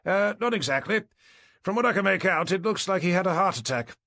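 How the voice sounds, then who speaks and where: A man's voice: high voice, expressive, a little fast, bright, forceful tone, no room sound, studio quality, voice pushed up, heavily acted. A man voicing a fussy, exasperated police doctor in an audiobook, with a British accent. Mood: hesitant.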